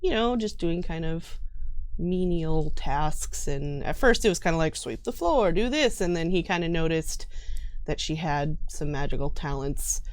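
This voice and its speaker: A woman's voice: low voice, expressive, measured pace. A woman chatting casually as a guest player, giggly and informal as she explains things. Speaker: tabletop game player, guest. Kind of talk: casual chat describing a game character.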